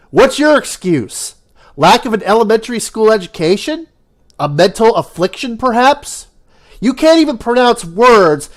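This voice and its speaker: Nasal congested voice, scripted mocking commentary, profane, very lively pitch. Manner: sarcastic, profane, theatrical emphasis. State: congested from a cold. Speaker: YouTube commentator.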